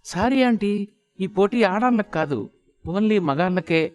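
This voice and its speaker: A man's voice: high-pitched, lively intonation, measured pace, a little mellow, voice pushed up. A man voicing a bubbly, teasing young woman radio jockey who chats in a gushing way, mixing in English words. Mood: dismissive. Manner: gushing, chatty, mixes English into Telugu.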